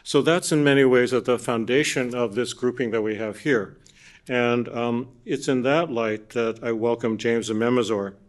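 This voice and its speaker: A man's voice: mid-range voice, steady tone, normal speed. A man giving an academic keynote talk and introducing the panel, speaking in a discursive way full of restarts and asides. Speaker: panel moderator. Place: conference hall.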